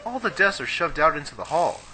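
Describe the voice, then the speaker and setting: Bright, brash teenage schoolboy played by a man. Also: on the high side, big pitch swings, a little fast, soft background music, full character voice, rough recording. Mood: gossipy, knowing. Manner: slangy, cocky, emphatic. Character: brash, gossipy, girl-crazy teenage schoolboy. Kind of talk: amateur anime fan dub dialogue.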